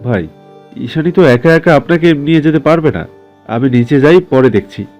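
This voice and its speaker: Guy playing an anxious loyal buddy in a story drama, earnest, mellow tone, faint music. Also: on the high side, moderate pace, close to an everyday voice. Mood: concerned. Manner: earnest, urgent. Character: loyal, anxious younger male comrade in a rescue. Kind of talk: dramatized audio story acting.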